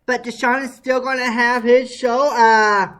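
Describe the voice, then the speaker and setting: A man with a high voice hosting a podcast, talking in an excitable, casual way and laughing easily. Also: a little slow, smooth. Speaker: podcast host. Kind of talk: casual chat.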